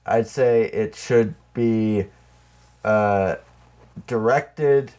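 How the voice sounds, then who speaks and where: Male podcast co-host, lower register, loose conversational movie banter with a joking, riffing streak. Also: very slow, darkish tone, clear, resonant tone. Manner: joking, riffing with mock quotes. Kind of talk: casual movie chat.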